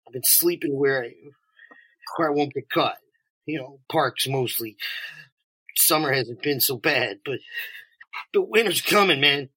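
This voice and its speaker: A man's voice: medium pitch, measured pace, long pauses, pushed and bright, husky, low-quality recording. A man playing a desperate, bitter homeless drug addict in an audio drama, agitated and stammering, swearing and sarcastic. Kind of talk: naturalistic two-person audio drama acting.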